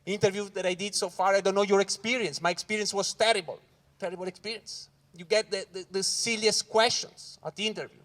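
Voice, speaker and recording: High-voiced Swiss comedian working a crowd. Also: male voice, a little fast. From Switzerland (Ticino). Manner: loud, animated crowd work, keeps saying "guys". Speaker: stand-up comedian. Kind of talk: stand-up comedy set at a corporate event. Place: corporate event hall with live audience.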